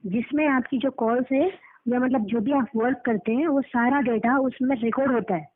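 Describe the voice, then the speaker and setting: A woman's voice: fairly high pitch, moderate pace. A woman arguing as a customer's advocate on a recorded phone call, lecturing and questioning, repeating phrases for emphasis. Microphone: telephone.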